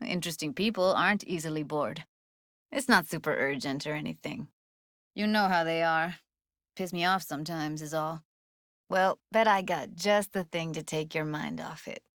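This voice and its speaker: Young streetwise woman tech, cyberpunk game, lowish voice, clipped slangy delivery, guarded yet tender, close dry sound. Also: normal speed, fairly bright tone, no room sound. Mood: casual, wry. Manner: casual, clipped slangy phrasing. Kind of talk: naturalistic video game voice acting.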